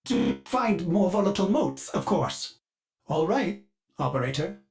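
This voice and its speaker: A man's voice: sits high, big pitch swings, a little slow, soft background music, full character voice. An actor voicing a loyal, eager male ship's AI who speaks of himself in the third person in formal, sing-song lines. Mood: earnest. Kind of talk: game voice lines.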